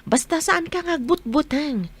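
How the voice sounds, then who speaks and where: A woman plays a protective young mother in an Ilocano audio drama. Her voice is high, with an anxious but soothing manner. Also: animated, moderate pace.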